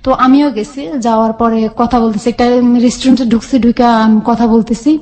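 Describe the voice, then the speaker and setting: A woman's voice: fairly high pitch, fast. A woman with a Bangladeshi accent telling her own life story conversationally as a radio guest, acting out remembered dialogue as she goes. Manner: animated retelling with quoted dialogue.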